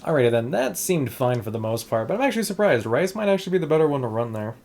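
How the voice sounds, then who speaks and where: A man's voice: medium pitch, big pitch swings, quick pace, gravelly, a little mellow, a little hiss or hum. A man streaming an emulator test, chatting casually and rambling with many restarts, saying he is losing his voice. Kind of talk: casual gameplay stream chat.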